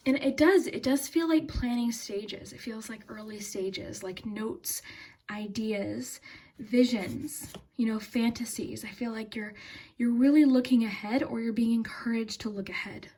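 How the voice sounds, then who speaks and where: Mellow, high-pitched woman reading tarot. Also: measured pace. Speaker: tarot reader and channel host. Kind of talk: casual, unscripted tarot reading to camera.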